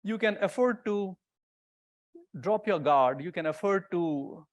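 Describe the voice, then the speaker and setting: Male conference presenter, notably high voice, explanatory and informal, walking listeners through technical material. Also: expressive, takes it slowly, highs cut off. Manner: explanatory, informal, pointing things out as he goes. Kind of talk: technical conference talk to an audience.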